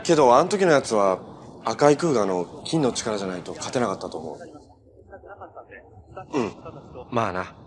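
An actor playing a cheerful, earnest young superhero in a live-action TV drama, polite and easygoing. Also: male voice, fairly low pitch, animated, normal speed, pauses often, slight background noise, lightly acted. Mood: relaxed, chatty. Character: cheerful, earnest young male hero who fights monsters.

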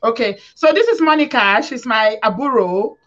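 Nigerian woman, host, teasing, repeats questions, somewhat high voice, muffled webcam audio. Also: lively intonation, moderate pace, fairly bright tone. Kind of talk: chatty live-stream hosting. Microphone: webcam video call. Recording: muffled lo-fi video call.

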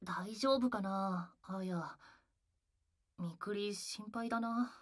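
A man's voice: sits high, takes it slowly, fairly bright tone, no echo, studio quality, full character voice. A man playing a sweet, cutesy, girlish young male idol in a voice drama, bubbly in manner. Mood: worried. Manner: cute, bubbly, refers to self by name. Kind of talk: Japanese voice drama acting.

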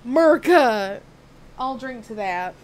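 Young woman, put-on Southern drawl, high voice, slow and drawn out, playful streamer chat. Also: lively intonation. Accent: put-on Southern American drawl. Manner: playful, drawn-out words, swears casually. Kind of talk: casual gameplay livestream chat.

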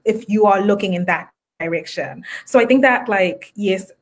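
A woman's voice: mid-range voice, normal speed. A woman from Zimbabwe with a Zimbabwean accent, speaking as a guest in a casual video-call interview, thoughtful with fillers and restarts. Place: indoor room at home.

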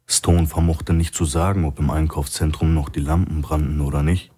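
A male audiobook narrator reads a crime thriller. His voice sits very low and has a husky, rough-edged grain. The delivery is scripted storytelling. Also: steady tone, moderate pace, dark tone.

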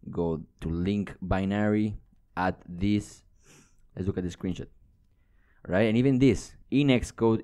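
A young man with a low, mellow voice teaches coding in a screencast. He explains things informally, like an instructor talking a student through steps at the computer. The tone is soft-edged and dark, recorded close to the mic. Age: young adult. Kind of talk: casual screencast tutorial. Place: indoors at a computer.

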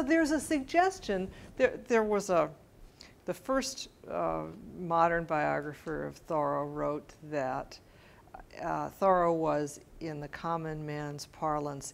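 A woman's voice: low voice, creaky. A middle-aged woman answering questions after a scholarly talk, informal and hesitant, often correcting herself as she thinks aloud. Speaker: lecturer answering audience questions. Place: bright mid-sized library meeting room with audience.

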